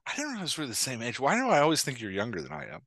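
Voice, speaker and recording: A man in his forties with a very lively, swooping delivery and a bright, forceful voice. He banters casually as a podcast host, joking at his own expense, close and dry on a headset mic. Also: mid-range voice, very fast, creaky, no echo. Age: 40s. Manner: jokey, self-deprecating. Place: indoor room, on a video call.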